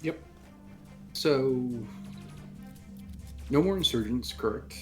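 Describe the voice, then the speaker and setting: Mid-pitched man playing a tabletop game. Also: expressive, slow, fairly bright tone, faint music behind. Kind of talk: casual game chat.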